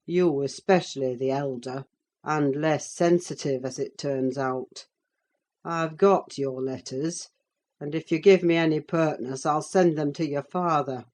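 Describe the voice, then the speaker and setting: A wicked middle-aged gentleman as acted by a woman narrator in an audiobook. He speaks low and very slowly, with deliberate menace and sardonic, accusing demands. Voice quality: deep. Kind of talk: audiobook character voice.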